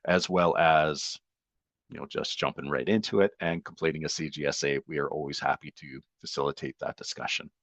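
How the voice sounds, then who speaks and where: Deep-voiced man on a dry mic. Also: a bit bright, no echo. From Canada. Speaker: rural economic development advisor. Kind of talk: webinar presentation.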